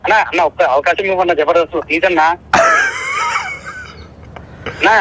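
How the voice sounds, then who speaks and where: A man in a casual, excitable phone conversation. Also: sits high, fairly quick, shouting. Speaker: phone call recipient. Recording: phone call, faint noise.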